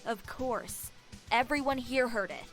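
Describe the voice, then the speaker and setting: An actress playing a blunt, impatient, sharp-tongued deputy in a visual novel game. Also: female voice, fairly high pitch, unhurried, faint music behind. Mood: snappy.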